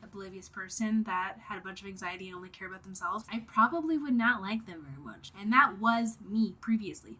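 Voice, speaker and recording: A young woman with a cold and slightly sniffly, talking candidly and rambling to camera in a reflective personal vlog, with many fillers and the odd soft whisper. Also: mid-range voice. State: has a cold, a bit sniffly. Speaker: vlogger.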